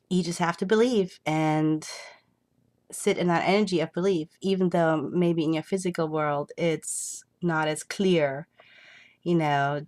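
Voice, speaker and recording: Stuffed-up woman w a cold, low voice, slow soothing pep talk to her viewers, lots of ums. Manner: slow, soothing, reassuring, with many fillers. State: congested from a cold. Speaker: spiritual reader. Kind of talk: casual spiritual vlog.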